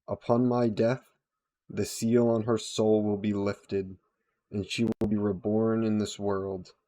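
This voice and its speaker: A man narrator puts on a frail old woman near death in a fantasy audio drama. The delivery is very slow and low, solemn, formal and forgiving. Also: little pitch movement, mellow, no echo.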